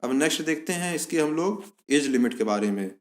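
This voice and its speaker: Male exam-prep presenter, fairly high pitch, instructive read-and-explain delivery with repeated key points. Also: animated, fairly quick. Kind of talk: informational explainer, reading out and explaining a notice.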